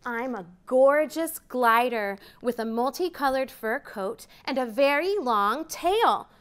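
A woman's voice: high voice, animated, slow pace, fairly bright tone, clean tone. A woman in her thirties reading a picture book aloud to children in a library room, counting up with big rising calls. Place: library program room, indoors.

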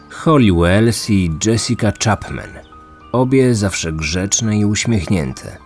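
A young man hosting a true crime podcast, reading scripted narration in a measured, deliberate way. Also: on the low side, a little mellow. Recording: music bed behind the voice.

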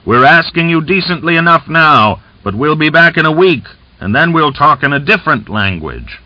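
A man's voice: on the high side, big pitch swings, moderate pace, pushed and bright, dry recording, voice pushed up, heavily acted, rough recording. A man voicing an arrogant male rebel commander who roars blustering threats.

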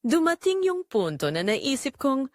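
A woman's voice: high-pitched, big pitch swings, a little slow, darkish tone, no echo, studio quality. A young woman with a Filipino accent narrating a scripted first-person romance story in an emotive, reflective way.

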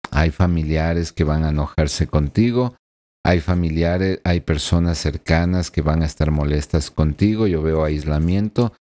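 Low, mellow-voiced man reading tarot. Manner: earnest, addresses the listener directly with frequent tag questions. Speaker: tarot reader. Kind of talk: weekly horoscope tarot reading. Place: small home room with blinds and plants. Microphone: desk microphone in front of him.

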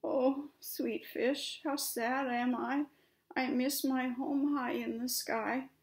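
A woman voicing a gentle, lost moon in a children's story, with a lilting, plaintive, poetic delivery. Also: fairly high pitch, lively intonation, takes it slowly, pitched above the performer's own voice, low-quality recording. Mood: sad, plaintive.

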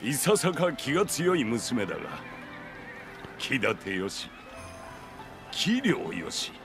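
A middle-aged samurai lord in a game cutscene. He is genial and magnanimous, speaking slowly and with stately weight at a medium pitch, over prominent music. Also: very animated. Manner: stately, measured.

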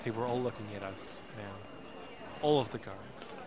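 An actor playing an anxious, emotional young man who narrates his ordeal in an audio drama, intense and halting. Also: lowish voice, background noise, natural voice. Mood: tense, afraid. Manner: intense, halting, repeats words.

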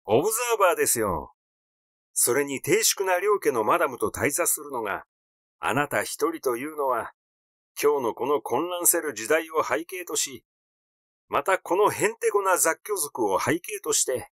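A man's voice: a little high, big pitch swings, moderate pace, fairly bright tone, dry recording, studio quality. A man voicing a cheeky, meddling young man in a story reading, needling and argumentative. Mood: meddling, glib.

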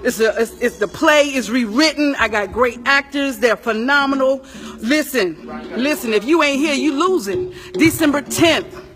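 A woman with a Southern US accent, casually hyping a show on a live stream, excitable and often repeating herself. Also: high voice, moderate pace. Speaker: playwright and producer hosting a live video. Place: theater stage and auditorium. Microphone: handheld phone at arm's length. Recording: phone live stream with band music behind. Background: band music.

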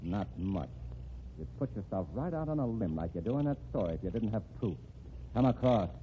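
A man's voice: on the low side, very animated, brisk. An actor playing a blunt, threatening male gangster boss in an old radio drama, talking tough in clipped street slang. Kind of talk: 1940s radio acting. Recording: tinny old radio broadcast with hiss.

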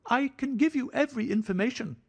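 A man voicing a jittery, fussy little man of about thirty, an anxious hypochondriac with a thin, high voice. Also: expressive, a little fast, husky, heavily acted. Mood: fretful. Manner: fidgety, effusive, fretful.

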